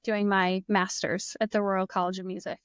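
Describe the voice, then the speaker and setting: A woman's voice: mid-range voice, little pitch movement, normal speed, a bit bright, smooth, no echo, studio quality. A young woman with a Midwestern American accent chatting casually and enthusiastically, laughing often. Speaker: guest, English teacher. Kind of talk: casual conversation.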